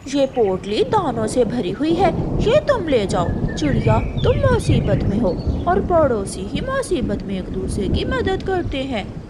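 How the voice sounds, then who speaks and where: A high, swooping cartoon crow played by a woman, female and full of mischief. She speaks at an unhurried pace with a sugary, scheming sweetness. There is clear noise behind the voice. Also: wildly swooping pitch, exaggerated voice. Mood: falsely kind. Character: sly, mischievous female crow, falsely kind. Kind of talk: Hindi children's cartoon story.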